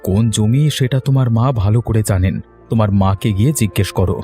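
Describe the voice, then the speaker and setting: A hot-headed young man of the village acted by a man in a cartoon tale. He blusters and accuses, set on revenge. His tone is dark and mellow, with music playing behind him. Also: fairly low pitch, fast, natural voice. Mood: irritated. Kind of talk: cartoon story dialogue. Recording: music under the voice.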